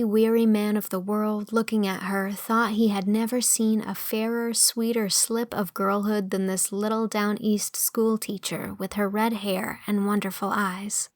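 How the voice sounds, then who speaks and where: A woman's voice: mid-range voice, no room sound, clean studio sound. A woman reading a classic novel as scripted storybook narration. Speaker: audiobook narrator. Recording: music under the voice. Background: music.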